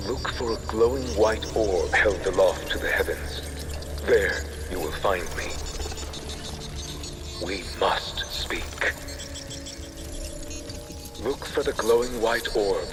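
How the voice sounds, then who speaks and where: Cold otherworldly entity, lowish voice, measured slow delivery, formal, long reverb, music. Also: male voice. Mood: intrigued, commanding. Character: cold, curious, otherworldly all-knowing male entity. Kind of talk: video game voice acting.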